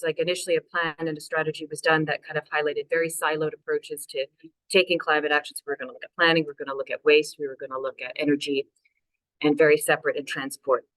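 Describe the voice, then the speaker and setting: A Canadian woman in her thirties presents over a video call, her voice sitting low for a woman. She talks loosely and chattily, dropping fillers and poking fun at herself. The sound is dry and close. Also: a bit bright, dry recording, low-quality recording. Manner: chatty, self-deprecating, many fillers. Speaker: local authority climate officer. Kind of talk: webinar presentation.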